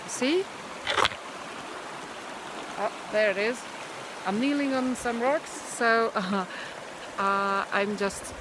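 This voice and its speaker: A woman with a Hungarian accent who is not a native English speaker, talking hesitantly to camera in a casual vlog, outdoors by a river. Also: medium pitch. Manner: hesitant, with many pauses and fillers. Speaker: vlogger. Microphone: handheld camera or phone at arm's length. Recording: outdoor recording with rushing water noise. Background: rushing river water.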